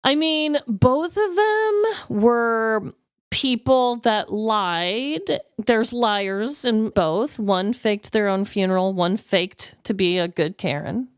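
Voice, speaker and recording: A woman's voice: on the high side, expressive, takes it slowly, clear, resonant tone, no room sound, studio quality. A woman co-hosting a podcast in casual, jokey, informal conversation, telling stories for comedy. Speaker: podcast co-host. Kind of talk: casual comedic storytelling chat.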